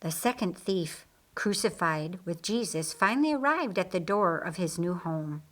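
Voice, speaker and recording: A woman's voice: on the low side, animated, normal speed. A woman reading a story aloud as an audiobook narrator, in scripted storytelling style.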